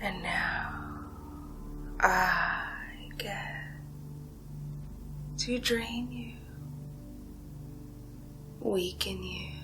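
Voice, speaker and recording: A woman playing a seductive, ancient vampire villain in a whispered ASMR roleplay, breathy and drawn-out, savoring and condescending. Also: mid-range voice, over music. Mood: soothing, menacing. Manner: slow, hushed, drawn-out, savoring delivery.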